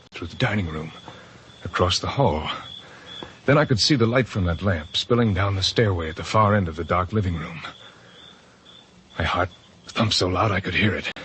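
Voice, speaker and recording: An actor playing a guilt-ridden, anxious husband telling his story in a halting, overwrought old-time radio drama style. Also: fairly low pitch, pushed and bright, a little hiss or hum. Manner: halting, stammering, overwrought delivery. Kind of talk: old-time radio drama acting, first-person confessional narration. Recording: old radio broadcast with music bed.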